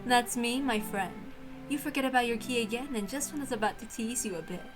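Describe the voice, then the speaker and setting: A woman's voice: on the high side, fast talker, pitched below the performer's own voice, close to an everyday voice. Sly, lofty witch for a visual novel, performed by a woman, amused and mocking, music faintly behind.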